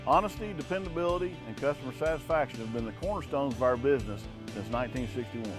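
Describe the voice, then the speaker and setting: A man reading his own heating and air radio ad as a steady, earnest pitch. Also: fast. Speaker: heating and air business owner in an ad. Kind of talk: radio ad read. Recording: radio ad over music bed.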